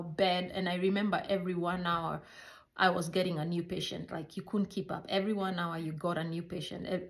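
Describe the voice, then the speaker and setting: Low-pitched Kenyan woman, halting and reflective. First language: a Kenyan mother tongue. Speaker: healthcare worker recounting her life. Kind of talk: personal storytelling.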